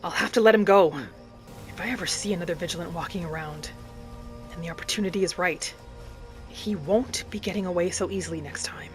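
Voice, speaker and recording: A woman's voice: fairly low pitch, brisk. A woman giving in-character roleplay commentary over a game, musing aloud in a dramatic manner. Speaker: gaming roleplay narrator. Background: faint music.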